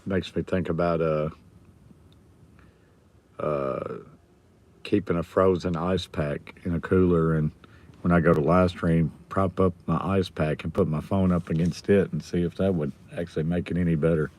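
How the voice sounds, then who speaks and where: A middle-aged man with a hoarse, raspy voice, casually chatting with viewers on his live stream. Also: low voice, steady tone, normal speed, a little mellow. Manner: rambling, chatting with viewers by name.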